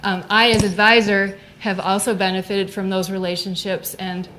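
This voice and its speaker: A woman's voice: mid-range voice, level tone, normal speed, bright, forceful tone, slight background noise, low-quality recording. A woman, a student club advisor, giving an upbeat, fluent seminar talk in a lecture room.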